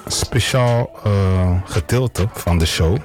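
A man's voice: deep voice, takes it slowly, darkish tone, faint music behind. A man from the Netherlands with a Dutch accent, hosting a radio show and interviewing a guest in a casual, hesitant way with many fillers.

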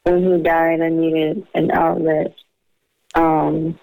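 A woman's voice: deep voice, flat delivery, slow, vocal fry, dark tone, no room sound, low-quality recording. A woman from the United States, a singer taking part in a phone interview, talking in an upbeat, casual and self-assured way. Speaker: singer, guest on a phone call.

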